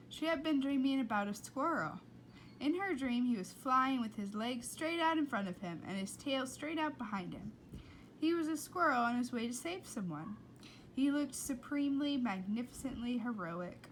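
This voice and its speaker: A woman reading a children's book aloud with expressive, playful and dramatic narration. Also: fairly high pitch, a little hiss or hum, low-quality recording. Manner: dramatic, playful delivery with whispers and asides. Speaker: read-aloud storyteller.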